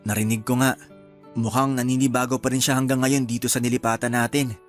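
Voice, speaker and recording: A man's voice: medium pitch, level tone, quick pace, soft background music, natural voice. A man playing a hardworking, loving young husband in a Filipino radio drama, affectionate and easygoing. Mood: warm, hopeful.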